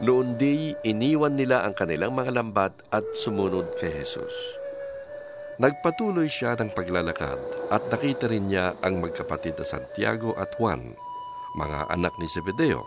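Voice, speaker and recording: A man narrating a dramatized scripture reading in a measured storytelling manner. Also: on the low side, animated. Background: music.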